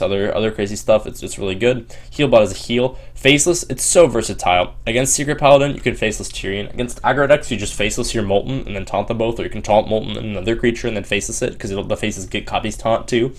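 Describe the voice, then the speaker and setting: A young man with a slightly sore voice, casually walking through a card game deck with lots of ums and restarts. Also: lowish voice. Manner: quick, filler-heavy delivery with frequent ums and restarts. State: sore voice from long streaming. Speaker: Hearthstone player explaining a deck.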